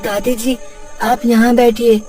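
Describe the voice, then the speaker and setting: A woman's voice: fairly high pitch, flat delivery, slow, far from the mic, over music. A woman voicing a small, sweet, eager village boy in a cartoon, earnest and childlike.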